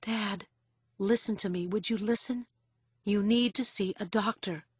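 A woman's voice: medium pitch, moderate pace, husky, no echo, lightly acted. A woman voicing a distressed, protective grown daughter in an audiobook, pleading and firm. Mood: firm concern. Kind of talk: audiobook character acting.

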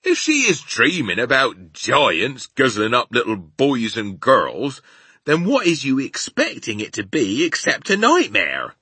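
A man's voice: medium pitch, huge pitch swings, a little slow, bright, forceful tone, breathy edge, no echo, pitched above the performer's own voice, exaggerated voice. A man voicing a big friendly giant from a children's storybook, earnest and proud, with folksy mangled grammar and invented words. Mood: proud, emphatic. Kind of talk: children's storybook character acting.

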